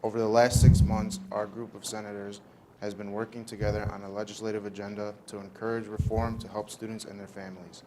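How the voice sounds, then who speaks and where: A man's voice: lowish voice, level tone, brisk. A young man, a student reader, reciting a formal prepared speech from a script. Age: young adult. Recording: clearly noisy live event recording.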